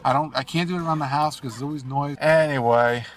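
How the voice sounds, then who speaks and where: A man's voice: on the high side, quick pace. A man with a cold, sounding nasal and congested, rambling casually and apologetically while he drives. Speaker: gaming video host. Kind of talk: casual rambling commentary over gameplay. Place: in a car while driving.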